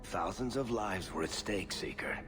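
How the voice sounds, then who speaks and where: An actor playing a wry, roguish storyteller in a video game, sardonic and theatrical in delivery. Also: male voice, fairly low pitch, normal speed, natural voice. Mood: wry, guarded. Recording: long hall reverb, music underneath.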